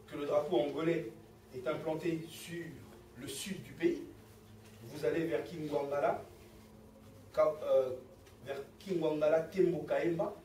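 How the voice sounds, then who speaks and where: Echoey, high-pitched Congolese man making political speeches. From Democratic Republic of the Congo. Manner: emphatic, rhetorical, didactic. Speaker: political speaker, activist. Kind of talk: impassioned political speech to a gathering. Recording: distant, echoey room with background noise.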